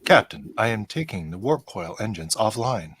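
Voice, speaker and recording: A man playing a polite, curious android officer in an amateur radio play, formal and measured, with a husky voice. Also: fairly low pitch, sing-song, a bit bright, exaggerated voice.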